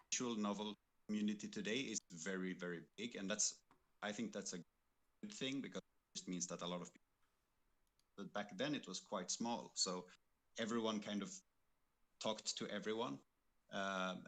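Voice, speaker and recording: Male game developer, lower register, halting delivery, informal online livestream conversation. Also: fairly bright tone. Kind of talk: casual livestream chat. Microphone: computer microphone on an online call with noise suppression.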